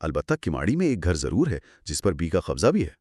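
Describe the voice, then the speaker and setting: Low-pitched man explaining, lively and dry. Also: brisk, slightly rough, no room sound. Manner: didactic, explanatory. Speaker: co-presenter explaining law in a lecture. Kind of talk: scripted two-person explainer dialogue.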